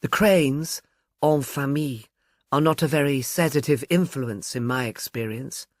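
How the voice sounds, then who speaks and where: A man's voice: mid-range voice, unhurried, no room sound, clean studio sound, lightly acted. A man voicing a poised young woman in her twenties, civil and mildly sardonic, drawling with a slight lisp. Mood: mischievous, wry. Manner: civil, self-possessed.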